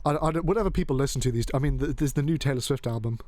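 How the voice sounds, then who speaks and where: A male host who rambles along, joking and dropping a curse here and there. His voice sits at an ordinary middle pitch. The sound is dry and close, with no room echo. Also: rapid-fire, a little mellow. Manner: rambling, joking, loose, swears casually. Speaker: podcast host. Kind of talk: casual chat.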